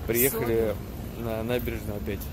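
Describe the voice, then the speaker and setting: A young man narrating a casual travel vlog into a phone held at arm's length, talking in a relaxed, slangy way. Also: on the low side, normal speed, noisy background. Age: young adult. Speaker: travel vlogger. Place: outdoors in a city and on a ferry.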